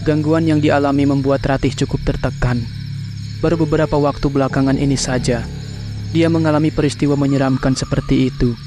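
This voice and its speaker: A man giving scripted narration for a horror cartoon in a steady, measured way. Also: medium pitch, music in the background.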